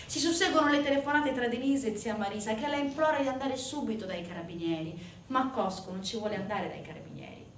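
Woman, higher-pitched voice, theatrical narration, short weighted phrases, distant from the mic. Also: fast talker, few pauses, slight background noise. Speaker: stage actress, narrator. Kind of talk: dramatic theatrical monologue, scripted storytelling. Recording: roomy, distant sound with faint music.

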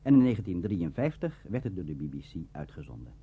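Husky, animated, formal male presenter. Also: deep voice. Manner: measured, formal, declamatory. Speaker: presenter of a radio history programme. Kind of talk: formal scripted lecture narration. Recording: dull, muffled archive radio recording.

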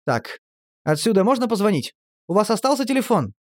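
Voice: mid-range voice, big pitch swings, brisk, pitched above the performer's own voice, natural voice. A storyteller voicing a frightened young city man who keeps exclaiming in protest. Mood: agitated. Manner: agitated, exclaiming.

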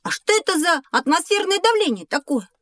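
A woman's voice: very high voice, a little fast, dry recording, heavily acted. A woman voicing an eager, inquisitive young boy, a professor's pupil in an educational audio drama. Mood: curious. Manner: eager, expressive.

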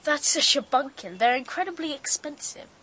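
A woman's voice: sits high, lively intonation, moderate pace, noisy background. An actress playing a precocious, superior young British girl who fancies herself a detective, in a radio drama. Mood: smug, superior. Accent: British English. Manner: bossy, superior.

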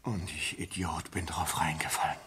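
An actor playing an agitated, suspicious sailor who feels duped, in a husky voice, in a German radio play. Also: male voice, extremely low pitch, flat delivery, moderate pace, fairly bright tone, slight background noise. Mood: bitter, rueful. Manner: agitated, erratic.

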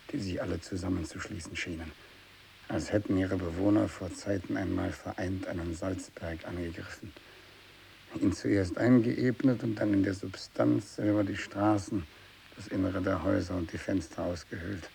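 An actor playing a tormented, bitter, fanatical renegade missionary in a radio drama monologue, brooding and intense, moving between hushed speech and anguished outbursts. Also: male voice, low-pitched, moderate pace, vocal fry. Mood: haunted, brooding. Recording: steady tape hiss.

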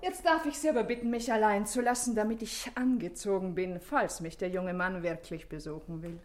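A woman's voice: mid-range voice, fairly quick, natural voice. An actress playing a self-assured, evasive society woman under questioning in a German radio drama, with an ironic, haughty manner. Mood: cool, defiant.

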